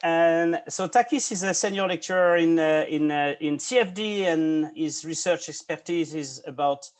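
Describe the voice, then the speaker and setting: A man's voice: a little high, normal speed. A man in his forties hosting an interview podcast over a video call, introducing a guest with many hesitant fillers. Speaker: podcast host.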